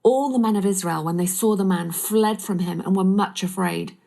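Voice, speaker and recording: A woman's voice: medium pitch, moderate pace, gravelly, mellow. A woman reading scripted narration of a Bible story.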